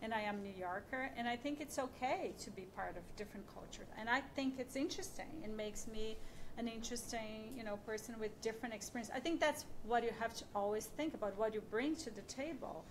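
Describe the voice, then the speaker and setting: A woman's voice: on the high side, fairly quick, noisy background. Brazilian-accented woman, frank panelist. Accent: Brazilian Portuguese. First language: Portuguese. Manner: frank, conversational, light humor. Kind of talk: panel discussion.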